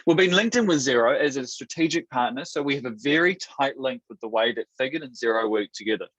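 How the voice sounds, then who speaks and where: A man from New Zealand with a Kiwi accent, hosting a product webinar in an upbeat, steady presenter manner with a few restarts. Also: mid-range voice, quick pace, dry recording. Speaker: webinar host. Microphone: video call. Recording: dull dry webinar audio.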